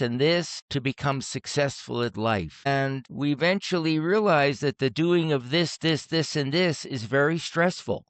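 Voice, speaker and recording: A man in his seventies from Cuba, who learned English as a third language, talking reflectively and philosophically in measured phrases as an interview guest. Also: mid-range voice, no echo. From Cuba, then Miami in the US. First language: not English, which was a third language. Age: 70s. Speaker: podcast guest, retired optometrist and author.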